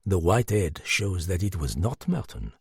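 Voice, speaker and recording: A man acts out a bearded French detective from a mystery audiobook, cool and cryptic. He speaks with measured authority in a husky, creaky voice. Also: low voice, big pitch swings, dry recording, clean studio sound, pitched below the performer's own voice, heavily acted. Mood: cool, probing.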